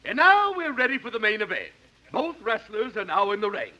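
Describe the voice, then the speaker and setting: An actor playing an excitable wrestling announcer in a 1950s radio comedy, calling it briskly and formally. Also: male voice, high-pitched, heavily acted. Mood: excited. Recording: faintly noisy 1950s radio recording.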